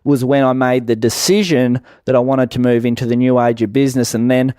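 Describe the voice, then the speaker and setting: A middle-aged man with an Australian accent speaks as an interview guest. His pitch is average and his delivery is measured and explanatory, like someone recounting a career. The recording is close and dry. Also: fairly even intonation, a little mellow, clean tone, no room sound. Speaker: guest, business owner. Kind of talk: conversational radio interview, explanatory.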